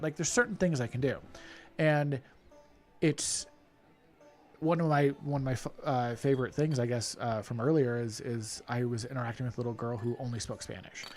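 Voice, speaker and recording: A man co-hosting a podcast, telling animated stories about playing characters at a festival and laughing often. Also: medium pitch, a little fast. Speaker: podcast co-host and festival performer. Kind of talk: casual podcast chat.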